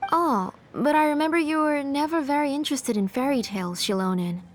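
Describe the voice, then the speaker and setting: Woman acting a higher-pitched, composed, controlling aunt. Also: a little fast, faint music behind, natural voice. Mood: gracious. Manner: composed, formal. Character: polite, protective, controlling aunt with a steely edge. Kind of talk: video game voice acting.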